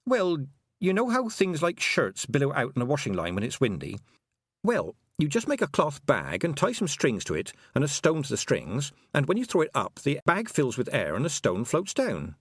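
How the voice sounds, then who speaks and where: A man's voice: medium pitch, huge pitch swings, normal speed, husky, no room sound, clean studio sound, voice pushed up, full character voice. A man voicing a practical young girl who speaks carefully and a little hesitantly.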